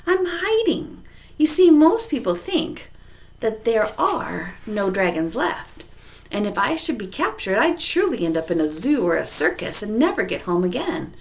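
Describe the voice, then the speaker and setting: A woman's voice: mid-range voice, sing-song, moderate pace, pitched above the performer's own voice. A woman reading a storybook aloud, voicing an earnest, friendly baby dragon. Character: small friendly young male baby dragon.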